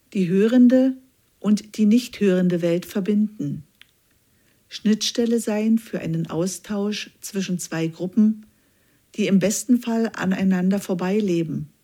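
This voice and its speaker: A woman reading a scripted news feature aloud in an even, measured way. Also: mid-range voice. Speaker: news reader.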